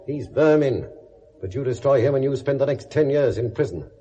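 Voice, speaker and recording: An actor playing a blunt, world-weary police inspector with a British accent and a sardonic, lecturing manner in a 1970s radio drama. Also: male voice, medium pitch, lively intonation, moderate pace, dark tone, breathy edge. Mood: sardonic, exasperated. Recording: dull vintage radio recording.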